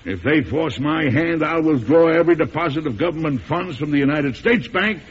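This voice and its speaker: A gruff old president in an old radio play. His delivery swings widely in pitch, blustery and commanding, with a short fuse. The sound is dull, like a vintage broadcast. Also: lively intonation, moderate pace. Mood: indignant. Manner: blustery, emphatic, oratorical. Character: gruff, hot-tempered old male American president, hearty and commanding. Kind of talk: 1940s-50s radio drama acting.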